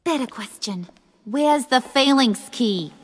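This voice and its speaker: A woman's voice: fairly high pitch, lively intonation, slow pace, husky, exaggerated voice. A woman playing a brash, flirtatious and menacing villain in a video game, with a sassy, taunting, mock-sweet delivery. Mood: impatient. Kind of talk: dramatic video game acting.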